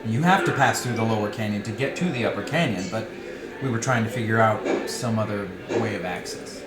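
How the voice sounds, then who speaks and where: A man's voice: on the low side, brisk, close to an everyday voice. A man reading the part of a flippant, wry dinner companion in a novel, in a dry conversational manner. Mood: matter-of-fact. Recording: roomy reading with music behind.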